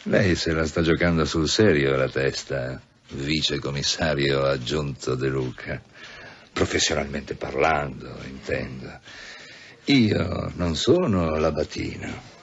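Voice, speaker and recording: A condescending senior police official in a radio drama. His deep voice is pompous and mock-polite, with a veiled threat beneath it. The recording sounds slightly dull. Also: slow pace, husky, full character voice. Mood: veiled menace. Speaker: actor. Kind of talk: Italian radio drama acting.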